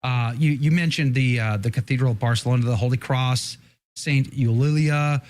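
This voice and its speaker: A man's voice: mid-range voice, normal speed, darkish tone. Talk-show host, man, casual, rambling with restarts. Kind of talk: casual interview chat.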